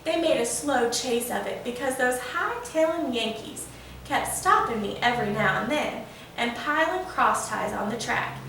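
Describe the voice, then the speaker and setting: A woman voicing a proud, folksy old male steam locomotive in a children's storybook, telling a tale eagerly. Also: high-pitched. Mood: folksy, recounting. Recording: echoey room, distant mic, faint noise.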